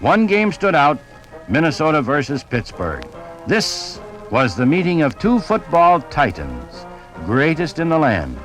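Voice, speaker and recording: A man's voice: fairly high pitch, huge pitch swings, unhurried, faint music behind, poor audio. A man delivering scripted sports narration in the style of a 1950s radio broadcast.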